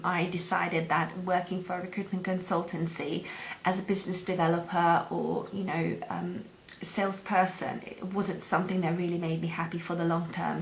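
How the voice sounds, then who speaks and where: Hesitant woman, echoey room. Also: lowish voice. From Hungary. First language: Hungarian. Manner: hesitant, many ums and uhs. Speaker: job seeker. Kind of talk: self-introduction video to employers. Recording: echoey room, faint background hum.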